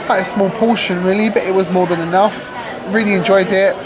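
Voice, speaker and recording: A woman's voice: medium pitch, fairly even intonation, fairly quick. A woman vlogging a casual food review, chatty and frank with a light joking touch. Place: busy restaurant. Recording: loud crowd chatter behind. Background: restaurant crowd chatter.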